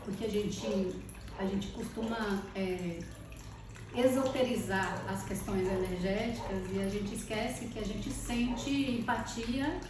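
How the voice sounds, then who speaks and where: A woman's voice: mid-range voice, takes it slowly. A Brazilian woman speaking reflectively and conversationally as an interview guest, searching for words as she goes. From Brazil, born in Salvador, lived in Teresina. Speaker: podcast guest, architect. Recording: very echoey, distant, noisy with running water. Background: water fountain trickling.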